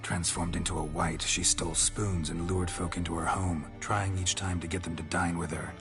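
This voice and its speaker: A laconic, world-weary monster hunter in a fantasy game. The voice sits low and delivers clipped, deadpan lines, with music clearly playing underneath. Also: male voice, normal speed. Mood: grim, matter-of-fact. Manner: terse, clipped, dry deadpan. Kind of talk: video game character acting.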